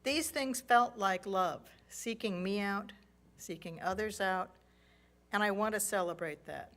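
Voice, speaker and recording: A woman's voice: mid-range voice, unhurried. A woman deacon giving a conversational sermon in a church and inviting the congregation to share. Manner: warm, conversational preaching to a congregation. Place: church sanctuary.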